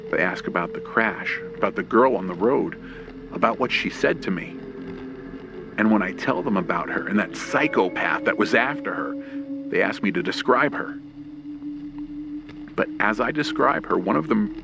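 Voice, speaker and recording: A man narrates a horror story in the first person, in the manner of a classic radio play. His low voice has a heavy gravelly creak and moves very slowly, with dramatic pauses. Noisy ambient effects sit clearly behind him. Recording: clear background noise with ambience and vehicle sounds. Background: rain, ambience and vehicle sound effects.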